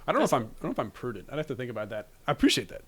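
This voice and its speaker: A man hosting a podcast in casual chat, easygoing, quick to laugh, with halting phrasing. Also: mid-range voice, huge pitch swings, a bit bright, husky. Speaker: podcast host.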